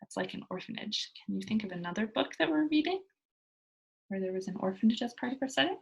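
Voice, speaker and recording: A woman's voice: mid-range voice, lively intonation, fast talker, vocal fry, slightly rough. A woman reading a children's book aloud as a storyteller, talking to young listeners as she goes. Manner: engaging listeners with simple questions.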